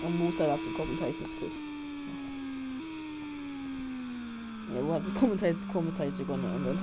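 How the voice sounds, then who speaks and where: A woman commentating a live sim racing stream. She rambles quickly in casual chat and breaks into excited shouting at crashes. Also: on the low side. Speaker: livestream race commentator. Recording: steady background noise and hum.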